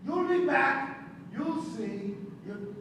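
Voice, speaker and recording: High, swooping spiteful god, acted by a man. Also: expressive, a bit bright, exaggerated voice. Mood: petulant. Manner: dramatic, halting, repetitive delivery. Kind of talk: stage play acting. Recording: echoey stage hall, distant mic, noisy.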